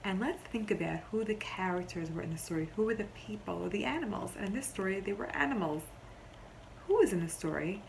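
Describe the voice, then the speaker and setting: A woman teacher reading a picture book aloud to young children, expressively, pausing to explain simply and ask questions. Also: medium pitch, creaky, slight background noise, low-quality recording. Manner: expressive storybook delivery, explains simply, pauses to question listeners.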